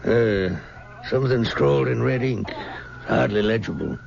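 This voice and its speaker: A gravelly, plodding deputy. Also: male voice, mid-range voice, measured pace, crowd noise. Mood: matter-of-fact. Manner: gravelly, matter-of-fact. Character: gruff deputy investigating a graveyard murder. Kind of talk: 1970s radio drama acting. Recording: dull, noisy old radio recording.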